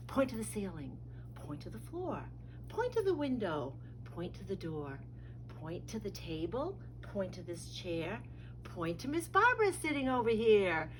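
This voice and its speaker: A woman speaks over clear background noise at a slow, patient pace, as a preschool teacher would. She leads a children's story time in a sing-song, encouraging way, with simple repeated phrases. Her voice sits at a middle pitch. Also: big pitch swings. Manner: instructive, simple repetitive phrasing for young children.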